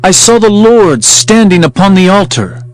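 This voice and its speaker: A man's voice: sits high, big pitch swings, normal speed, low-quality recording. A man narrating a dramatized King James Bible reading in a solemn, declamatory manner. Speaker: scripture narrator. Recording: music under the voice. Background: music.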